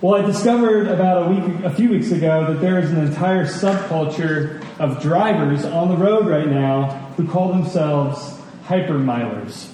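A man preaches in a high-pitched voice, posing questions and repeating phrases for emphasis. The sound is very echoey and distant from the mic, as in a large church hall. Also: moderate pace, hardly any pauses, mellow, a little hiss or hum. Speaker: pastor preaching. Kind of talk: sermon to a congregation. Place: large room at a church gathering.

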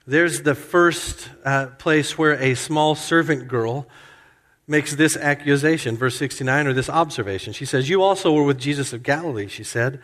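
Preacher with a long hall echo. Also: male voice, fairly bright tone. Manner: expository preaching, acts out quoted lines with rising pitch. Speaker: pastor. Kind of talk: sermon. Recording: hall reverb.

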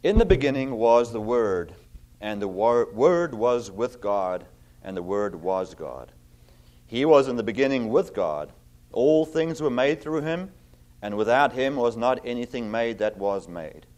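A man's voice: medium pitch, animated, a little mellow. South African man preaching a sermon. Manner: earnest, frequent fillers, reads scripture slowly and emphatically. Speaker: visiting preacher.

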